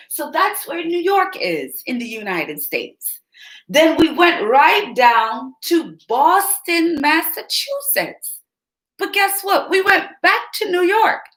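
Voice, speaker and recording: A woman reading a picture book aloud to young children, expressive and teacherly, often asking them questions. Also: high-pitched. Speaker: children's story reader. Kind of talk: read-aloud storytelling for young kids. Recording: roomy echo.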